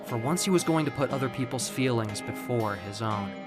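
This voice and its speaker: A man narrating scripted dark fiction in a dramatic storytelling style. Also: mid-range voice, lively intonation, a little fast, loud music behind the voice.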